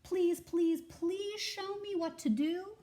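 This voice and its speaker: A woman voicing a small, eager young male squirrel in a children's picture book, pleading and determined with emphatic, drawn-out words. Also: very high voice, fairly even intonation, warm tone, voice pushed up.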